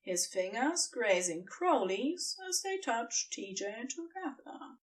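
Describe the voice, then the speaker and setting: Female narrator for fiction, fairly high and very melodic, expressive storybook read, recorded a little away from the mic. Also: takes it slowly, far from the mic.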